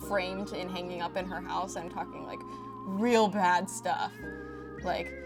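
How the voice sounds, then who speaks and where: Young woman, student interviewee. Slightly low register, candid and expressive, clear music bed. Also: measured pace. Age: young adult. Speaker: animation student. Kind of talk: personal documentary interview. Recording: music behind the voice.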